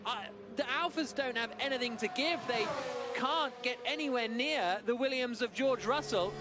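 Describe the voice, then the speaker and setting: Male live motorsport commentator, very high-pitched excited delivery with halting pauses, loud trackside broadcast sound behind. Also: over music. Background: racing car engines.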